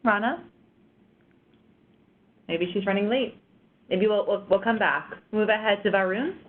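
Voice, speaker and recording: A woman's voice: mid-range voice, a little slow. A woman moderating a webinar, calling on speakers with upbeat brief prompts. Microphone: conference call line. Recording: phone-line webinar audio.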